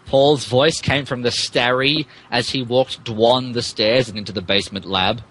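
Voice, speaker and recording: A man's voice: mid-range voice, steady tone, moderate pace, fairly bright tone, slightly rough, low-quality recording. A man narrating fan fiction on a podcast in a mock-dramatic, emphatic way, amused and stumbling over the text.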